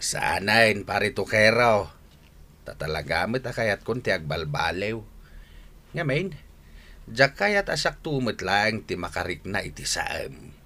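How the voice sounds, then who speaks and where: A man's voice: lowish voice, sing-song, unhurried, sharp tone, heavily acted. A man acting in a radio drama as a repentant, earnest older father who likes his drink, with an Ilocano accent.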